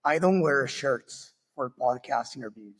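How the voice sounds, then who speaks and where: A podcast host from Argentina speaking English with an Argentine Spanish accent, friendly and searching for words, with small slips. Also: male voice, mid-range voice, animated, unhurried, fairly bright tone, breathy edge, long reverb tail. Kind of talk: casual interview.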